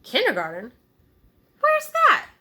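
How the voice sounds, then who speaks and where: A woman's voice: extremely high pitch, wildly swooping pitch, slow pace, pushed and bright, pitched above the performer's own voice, heavily acted. A woman voicing worried, bewildered storybook farm animals with emphatic, questioning delivery. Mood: alarmed. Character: worried, bewildered barn animals fretting over a missing boy.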